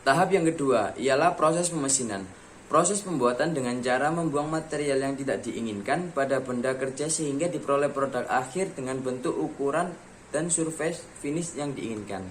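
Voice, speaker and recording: A man's voice: mid-range voice, slight background noise. Man reading a technical explainer. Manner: reads steadily from a script, listing steps in order. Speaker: student presenter. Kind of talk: scripted educational voice-over.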